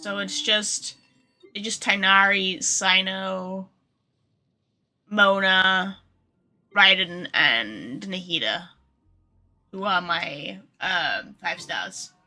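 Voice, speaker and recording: A woman's voice: medium pitch, extremely slow, pauses often, bright, forceful tone, soft background music. A woman livestreaming a video game, chatting casually to viewers in a rambling, informal, sweary way.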